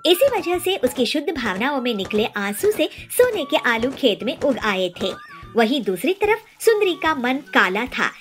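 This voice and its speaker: A woman reading a scripted children's story as narrator. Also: fairly high pitch.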